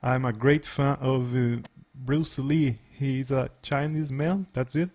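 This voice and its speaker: A man's voice: mid-range voice, steady tone, takes it slowly, darkish tone. A man from Brazil whose first language is Portuguese, chatting in English on a practice call, friendly and repeating words. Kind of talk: casual conversation practice.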